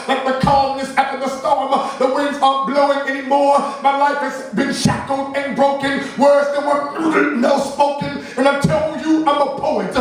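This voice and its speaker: High-voiced New poet, declaiming. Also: male voice, fairly bright tone. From New Orleans. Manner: rhythmic, declamatory, rhyming punch lines. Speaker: spoken word poet. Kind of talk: spoken word performance at an open mic. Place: small poetry café, indoors. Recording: very echoey room, voice from far off.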